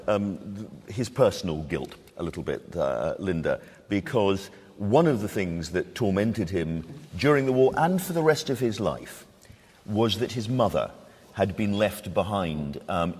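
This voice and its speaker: A man hosting a conversational literary discussion, with a husky voice and questions put to his guests. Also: sits low, animated, moderate pace, a little mellow. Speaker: host moderating a discussion. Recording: very reverberant hall.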